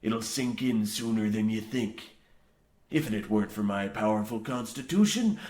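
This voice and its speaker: An actor playing a grizzled, half-mad old coal miner, boastful and ominous, rambling theatrically in rustic archaic phrasing in a comic old-time radio horror play. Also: male voice, lowish voice. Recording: roomy, echoing sound.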